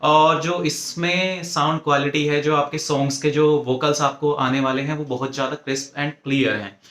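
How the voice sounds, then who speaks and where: Higher-voiced Indian man reviewing, echoey room. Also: fairly bright tone. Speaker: tech reviewer presenting an earphone review. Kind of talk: informal spoken product review, mixing Hindi with English. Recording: echoey, roomy sound.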